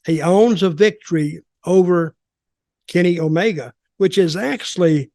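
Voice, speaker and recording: A man's voice: high-pitched, measured pace, darkish tone, no room sound, clean studio sound. An older man in casual, rambling, opinionated talk about wrestling as a podcast commentator.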